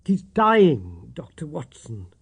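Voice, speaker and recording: Audiobook role: male narrator as distressed, deferential landlady; lifted high, swooping, slightly husky. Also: huge pitch swings, takes it slowly, exaggerated voice. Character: long-suffering, anxious, deferential London landlady, a woman.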